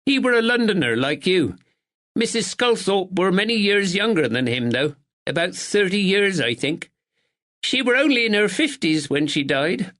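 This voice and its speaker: A man's voice: high-pitched, very animated, normal speed, a bit bright, voice pushed up. A man voicing an anxious middle-aged village woman with a dialect who cares for her mother. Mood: nervous, polite. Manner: timid, dialect "were".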